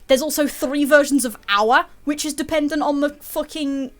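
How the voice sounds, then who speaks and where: A woman's voice: high-pitched, normal speed. A young woman chatting casually while streaming a game, swearing freely and laughing often. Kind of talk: casual chat while gaming.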